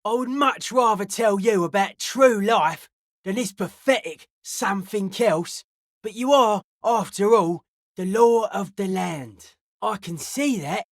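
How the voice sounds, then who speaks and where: A woman voicing a suspicious, aloof young hardcore raver in a video game, speaking in deadpan, clipped, cryptic pronouncements. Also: medium pitch, a little slow, no room sound, clean studio sound. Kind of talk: video game character dialogue.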